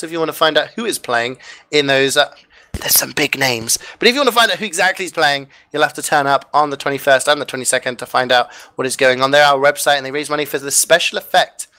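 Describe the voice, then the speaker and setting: A man casting an online game match live, chatty and upbeat with frequent fillers, a bit hoarse as he loses his voice. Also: on the high side, sharp tone. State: losing his voice. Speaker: esports caster. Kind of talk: live esports commentary and sign-off.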